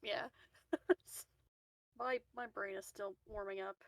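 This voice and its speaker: A woman's voice: medium pitch, fairly even intonation, slow pace, dry recording, rough recording. A woman chatting casually as a player in a tabletop roleplaying game.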